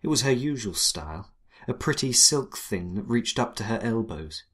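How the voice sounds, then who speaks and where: A man playing a wry, hardboiled 1920s private detective, narrating in a dry, world-weary deadpan. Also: lowish voice, moderate pace, breathy edge. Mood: wry, brooding. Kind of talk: first-person noir detective narration and dialogue.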